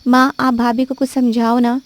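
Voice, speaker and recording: A woman's voice: flat delivery, moderate pace, natural voice. Woman doing a whiny young sister-in-law. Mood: complaining. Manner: whiny, coaxing, high-pitched. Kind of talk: cartoon story voice acting.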